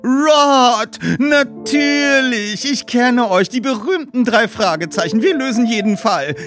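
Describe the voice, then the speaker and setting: A man's voice: very high-pitched, animated, slow pace, fairly bright tone, faint music behind, pitched above the performer's own voice, heavily acted. A man voicing a brash, chatty middle-aged woman in an audiobook, a gushing smoker who talks in torrents. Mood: gushing, overbearing. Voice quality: coughing smoker's voice. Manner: gushing, breathless torrent of words. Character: huge brash chatty middle-aged woman, chain-smoking nosy neighbour.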